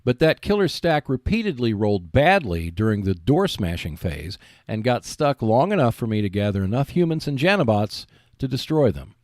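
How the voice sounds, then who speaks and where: A man hosting a solo podcast about board games, speaking in measured phrases with emphatic stresses. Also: mid-range voice, big pitch swings, darkish tone, no room sound, clean studio sound. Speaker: podcast host.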